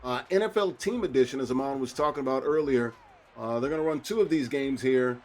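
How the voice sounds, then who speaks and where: A middle-aged man doing play-by-play commentary on a football video game tournament, his voice jumping up with excitement on big plays. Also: mid-range voice, a little fast. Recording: faint background noise.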